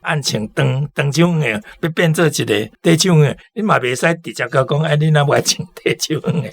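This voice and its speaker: A man's voice: fairly high pitch, fairly quick, vocal fry. A man giving casual, chatty commentary on stories for a radio programme.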